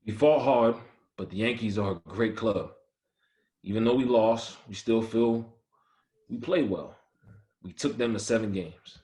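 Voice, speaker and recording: Man acting a low-voiced, proud ballplayer. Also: a little slow, long pauses, dark tone, reverberant, close to an everyday voice. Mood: composed, reflective. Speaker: actor at a table read. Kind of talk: dramatic first-person script reading.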